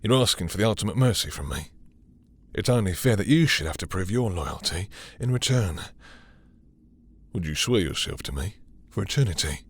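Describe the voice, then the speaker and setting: A man's voice: sits low, animated, heavily acted. A man acting a dominant, possessive male vampire in an intimate audio roleplay, taunting and seductive with a sadistic, menacing edge. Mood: smug, taunting. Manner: taunting, drawling, with breathy sighs and lingering pauses.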